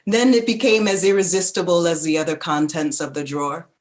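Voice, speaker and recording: An actress playing a reflective woman narrator who recalls her childhood in a contemplative, deliberate literary reading. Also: on the low side, fairly even intonation, close to an everyday voice.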